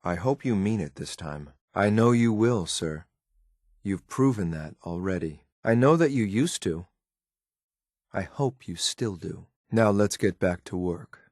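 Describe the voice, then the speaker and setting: An actor playing a stern, loyal synth enforcer in a video game, speaking in a clipped, formal, deferential military manner. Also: male voice, deep voice, expressive, measured pace, a little mellow, no room sound, studio quality. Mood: stern, disappointed. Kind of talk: video game companion dialogue.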